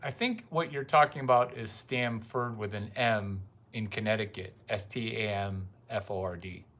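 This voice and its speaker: A man's voice: on the low side, moderate pace, fairly bright tone. A man giving a rambling, crude casual monologue full of profane asides.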